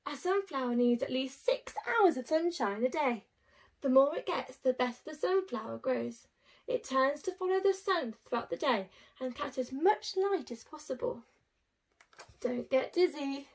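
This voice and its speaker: A woman's voice: high voice, animated, moderate pace. A woman infant school teacher reading aloud to young children, explaining things simply and reading expressively. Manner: addresses young children, explaining simply with rhetorical tag questions.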